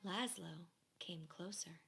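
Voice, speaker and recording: A woman reading a children's story aloud expressively, in measured phrases that often fall to a hush. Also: fairly low pitch, creaky, husky, soft background music. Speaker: storybook reader.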